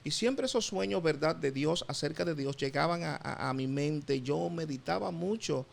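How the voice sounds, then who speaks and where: A middle-aged Dominican man, a pastor, giving his testimony in an interview, halting often with fillers and repeated words. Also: a little high, creaky. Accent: Dominican Spanish. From Dominican Republic.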